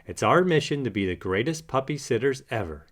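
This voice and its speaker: A playful young puppy in a children's story , put on by a man. He sounds goofy and enthusiastic, with a bouncy, wide-ranging pitch. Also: lively intonation, a little fast, close to an everyday voice. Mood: keen, reassuring.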